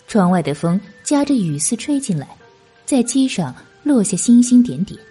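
A woman's voice: a little high, big pitch swings, normal speed, warm tone, breathy edge, soft background music. A woman narrating an audiobook novel in a scripted, dramatic storytelling style.

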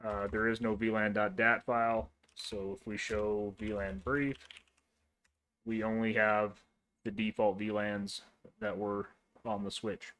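A man hosting a casual networking tutorial, talking through commands in a relaxed way with frequent ums and restarts while typing. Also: on the low side, unhurried. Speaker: host of a networking tutorial channel. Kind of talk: casual screen-recorded tech tutorial.

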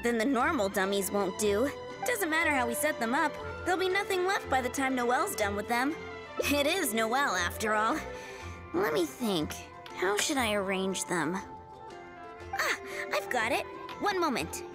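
A woman's voice: high-pitched, very animated, normal speed. A woman voicing a friendly young knight trainee, speaking in a casual, musing way in a video game. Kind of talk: video game character dialogue. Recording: game music behind the voice.

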